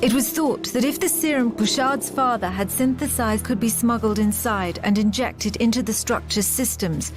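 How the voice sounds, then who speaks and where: A woman's voice: fairly high pitch, normal speed, few pauses, over music, lightly acted. A woman playing a grave wartime narrator in a video game, recounting a resistance campaign in scripted narration. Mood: grave, measured.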